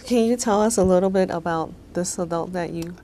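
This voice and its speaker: A woman hosting an interview, mostly listening quietly and asking questions. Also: lowish voice, fairly quick. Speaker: host.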